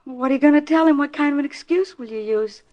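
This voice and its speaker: An actress playing an anxious, pleading wife in a 1950s radio drama, speaking hesitantly and earnestly. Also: female voice, high-pitched, fast talker, slight background noise, lightly acted. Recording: dull vintage 1950s radio recording.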